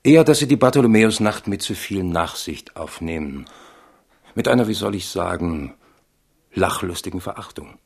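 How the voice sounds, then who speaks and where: An actor playing a loyal, philosophical royal attendant in a radio drama, measured and gently reproving with a pompous touch. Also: male voice, low-pitched, lively intonation, creaky, slightly rough, full character voice. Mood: wry reproach. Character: loyal male attendant, admonishing, philosophical, wry. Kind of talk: German radio drama acting.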